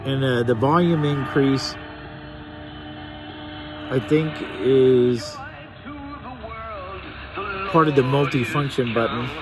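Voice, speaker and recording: A man's voice: mid-range voice, fairly even intonation, slow, pauses often. A man talks through a gadget in a casual, hands-on way. He muses aloud as he goes, unscripted, like a hobby vlogger trying things out. There is some music in the background. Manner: unscripted, musing aloud while tinkering. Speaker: vlogger reviewing a radio.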